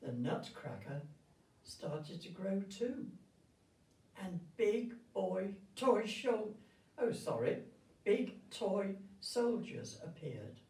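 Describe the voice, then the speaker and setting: An elderly woman reading a storybook aloud to children in a gentle, grandmotherly and expressive way. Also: mid-range voice, very slow. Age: 70s or older. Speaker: retirement village resident reading a story. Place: indoor room with festive backdrop. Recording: roomy sound, distant microphone.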